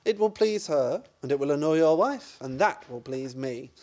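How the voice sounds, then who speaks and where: A wry, scheming son-in-law in a comic radio play, acted out by a performer. The delivery is theatrical and exclamatory, leaping up and down in pitch with sardonic relish. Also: expressive, moderate pace, dark tone, natural voice. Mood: gleeful. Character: wry, scheming son-in-law who scorns his mother-in-law. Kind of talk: stage-comedy radio play acting.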